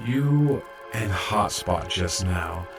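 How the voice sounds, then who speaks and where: A man's voice: low-pitched, lively intonation, drawn-out words, recorded from a distance, soft background music, exaggerated voice. A man playing a shy, awkward young robot medic in an audio drama, hesitant, stammering and apologetic. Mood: reflective, hesitant. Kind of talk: fan audio drama acting.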